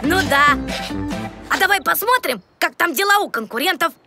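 Very high, confident little raccoon. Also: female voice, animated, moderate pace. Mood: curious. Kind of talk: animated children's cartoon acting. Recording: loud music behind the dialogue.

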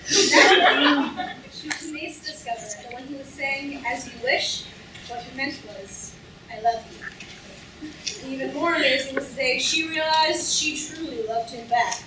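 A woman's voice: high-pitched, measured pace. A woman playing a patient storyteller reading a book aloud in a staged reading. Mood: narrating. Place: lecture hall stage, indoors, recorded from audience. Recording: echoey hall from far off, noisy audience room.

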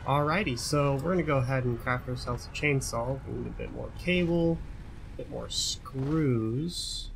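A man's voice: medium pitch, moderate pace, creaky, a little hiss or hum. Chatty young man explaining a game. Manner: chatty, repeats words, says "right?" often. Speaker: gaming YouTuber. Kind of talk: casual tutorial commentary over gameplay.

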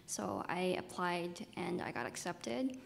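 A young woman student answers panel questions earnestly. Her voice has a lot of vocal fry and echoes through a reverberant hall over faint noise. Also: on the low side, big pitch swings, slow. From Vancouver, grew up in Asia. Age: young adult. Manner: earnest, laughs lightly.

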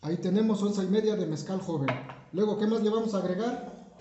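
A man explains a drink recipe step by step to viewers, unhurried, with many fillers and restarts. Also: high voice, dark tone, a little hiss or hum. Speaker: home bartender, channel host. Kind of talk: step-by-step cocktail tutorial. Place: home kitchen counter, indoors. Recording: roomy, dull lo-fi camera audio.

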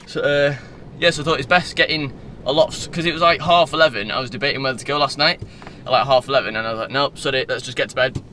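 Bright-voiced young Northern English man chatting. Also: medium pitch, quick pace, hardly any pauses. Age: 20s. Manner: informal, loose, digressive. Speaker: vlogger. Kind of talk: casual rambling vlog chat. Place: inside a parked car. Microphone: phone at arm's length. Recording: faint car-interior background noise.

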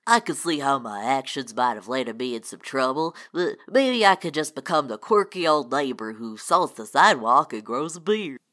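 A self-assured crime boss, acted by a woman speaks in a very low voice. The delivery is smug and deadpan, for a comic radio play. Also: moderate pace, few pauses.

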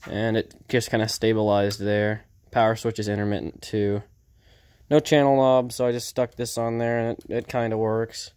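A man giving a casual, off-the-cuff narration as a hobbyist repairer, speaking informally and haltingly into a handheld camera in an indoor workshop. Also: fairly low pitch, level tone, a little mellow, smooth. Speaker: vintage TV repair hobbyist narrating his video.

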